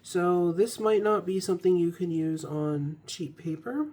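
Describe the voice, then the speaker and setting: A woman's voice: lowish voice, measured pace. Chatty woman reviewing ink, over a cold. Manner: relaxed, chatty, with frequent ums and restarts. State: recovering from a cold. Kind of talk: casual product review vlog.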